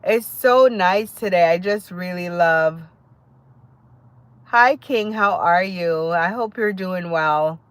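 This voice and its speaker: A woman's voice: on the low side, slow, clear, resonant tone, no echo. Chatty Jamaican woman live-streaming. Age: adult. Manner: chatty, greets viewers by name, laughs often. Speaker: livestream host. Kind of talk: casual live-stream chat with viewers.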